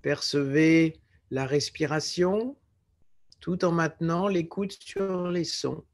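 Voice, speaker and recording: Male meditation guide, lightly raised pitch, very unhurried, calm and measured instruction. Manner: calm, measured, pausing between short phrases. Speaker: yoga nidra teacher guiding relaxation.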